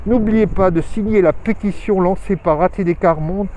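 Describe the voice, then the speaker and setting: A man's voice: sits high, moderate pace, dark tone, poor audio. A man hosting a weekly live video outdoors, talking casually in a rambling, hesitant way with many fillers, recorded on a handheld phone or camera. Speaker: host of weekly live show. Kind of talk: casual live vlog update. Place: outdoors in town streets.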